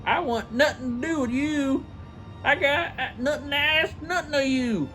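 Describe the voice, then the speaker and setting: Storybook miser played by a woman: old man, grumpy, curt, scornful, high-pitched, drawn-out delivery. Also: a bit bright, faint music behind. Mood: curt, dismissive. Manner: curt, growling, dismissive. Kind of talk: theatrical storybook reading.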